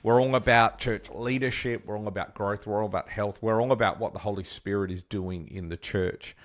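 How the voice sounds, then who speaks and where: Aussie guy hosting a church podcast, lowish voice, upbeat and chatty, lots of ums. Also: steady tone, a little fast. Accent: Australian. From Australia. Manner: enthusiastic, chatty, many fillers. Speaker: podcast host. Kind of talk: casual interview hosting.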